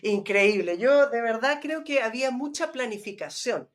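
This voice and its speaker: A middle-aged woman with a fairly high voice talks casually, as a podcast host reminiscing. She tells things in a chatty way, often checking in with short question tags and sprinkling in small sound imitations. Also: animated, normal speed. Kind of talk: casual nostalgic conversation.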